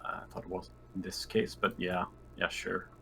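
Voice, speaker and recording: A man's voice: low-pitched, little pitch movement, moderate pace, creaky, a bit bright, a little hiss or hum. A man chatting casually as a player in a tabletop roleplaying game.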